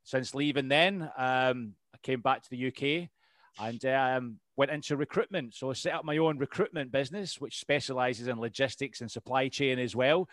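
A man's voice: mid-range voice, moderate pace, a bit bright, dry recording. Chatty Scottish man, dry close sound. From Scotland. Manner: rambling, lots of ums and restarts, laughs easily. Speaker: podcast guest, RAF veteran. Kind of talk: casual interview chat.

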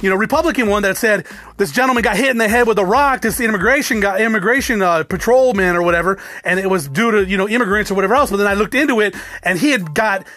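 A man rants to his viewers at a quick clip, his voice pitched fairly high for a man. He swears freely, restarts his sentences and circles back on the same points. The sound is close and dry, with no room around it. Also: sharp tone, no echo. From United States. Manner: rambling, profane, repeats himself. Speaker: vlogger. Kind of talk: casual rant to camera.

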